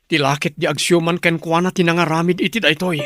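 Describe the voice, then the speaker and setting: A man's voice: on the high side, fairly even intonation, brisk, music in the background, natural voice. An actor with an Ilocano accent playing an earnest, worried young man in a radio drama. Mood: troubled, helpless. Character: earnest worried young man, devoted son, protective of Eva. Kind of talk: Philippine radio drama acting.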